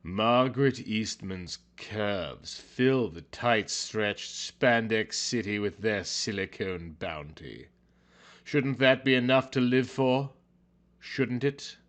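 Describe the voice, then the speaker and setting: A man narrating a story in a theatrical, brooding, overwrought style, as if every line were high drama. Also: expressive, takes it slowly, a bit bright.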